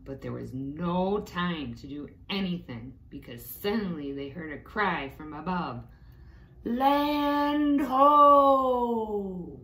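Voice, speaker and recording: A woman's voice: deep voice, lively intonation, unhurried, hardly any pauses. A woman reading a storybook aloud to young children, expressively, with little explanatory asides. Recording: echoey room with faint background noise.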